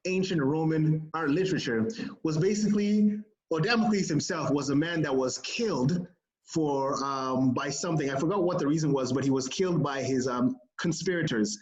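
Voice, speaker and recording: Guy cohosting a sports podcast, kinda high voice, laughs a ton, muffled echoey room. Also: moderate pace. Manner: laughs often, animated, lots of fillers. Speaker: podcast co-host. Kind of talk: casual sports chat.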